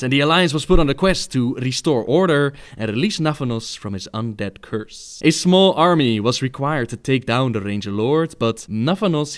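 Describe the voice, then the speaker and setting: A man delivering scripted explanatory narration as the narrator of a lore video. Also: mid-range voice.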